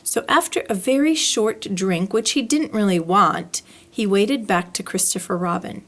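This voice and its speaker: A woman reading a children's story aloud in an expressive, deliberate storytelling style. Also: mid-range voice.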